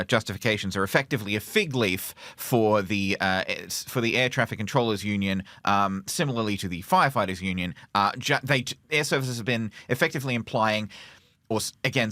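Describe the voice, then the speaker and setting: Low-voiced man, halting news delivery. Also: bright, forceful tone, dry recording. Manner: halting, often restarts and rephrases. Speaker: podcast co-host reporting news. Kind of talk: conversational news reporting.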